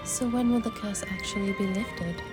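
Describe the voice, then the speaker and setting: A woman voicing a curious, kind but guarded fantasy adventurer in video game dialogue, gentle and deliberate. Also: medium pitch, loud music behind the voice, lightly acted. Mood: concerned. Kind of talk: amateur voice acting of game dialogue.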